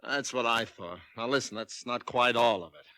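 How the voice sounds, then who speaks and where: A man's voice: medium pitch, normal speed, bright, forceful tone, dry recording. An actor playing an earnest, uneasy male rental agent in a 1940s radio drama, on a vintage recording.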